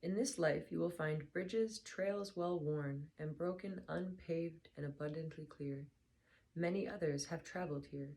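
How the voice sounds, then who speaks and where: Tired low-voiced woman with a hoarse, creaky voice. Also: low-quality recording. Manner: tired, soft and unhurried. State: hoarse, slightly lost voice. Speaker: book reader vlogger. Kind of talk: casual chat then reading aloud.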